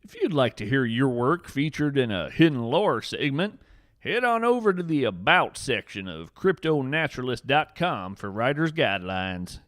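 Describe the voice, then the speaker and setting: Man acting as folksy old-hand cryptid naturalist, lively swooping pitch, theatrical deliberate storytelling, dry close sound, fiction podcast narrator. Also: no room sound. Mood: informative, friendly. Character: whimsical veteran male cryptid naturalist, earnest, folksy adventurer.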